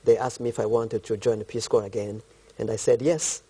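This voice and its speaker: A middle-aged man with a Congolese accent answers interview questions in a deliberate, halting way. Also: lowish voice, fairly even intonation, dark tone, slightly rough, low-quality recording. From eastern Congo. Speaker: interview guest, country director of a volunteer organisation.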